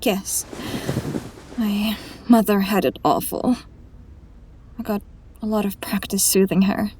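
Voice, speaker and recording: A woman's voice: mid-range voice, fairly even intonation, slow pace, a little mellow, slight background noise, lightly acted. A woman playing a weary, resolute, grieving heroine in a fantasy audio drama, solemn and restrained. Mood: calm, firm. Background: music.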